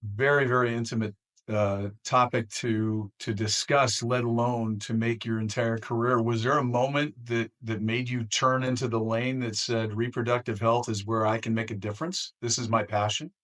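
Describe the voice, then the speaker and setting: A man hosting an interview, asking questions conversationally in short, thoughtful, measured phrases. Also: mid-range voice, level tone, a bit bright. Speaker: interviewer, host.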